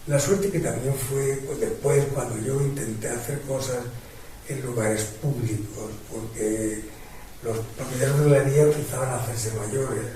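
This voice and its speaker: Picture an older man recalling his life in an unhurried, reminiscing way, with a mellow, darkish tone. He sounds set back from the mic in a very echoey room. A faint hum of ambience sits underneath. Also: medium pitch, fairly even intonation. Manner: reminiscing, unhurried, warm laughs. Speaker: guest painter. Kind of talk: relaxed conversational interview. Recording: distant mic with heavy echo.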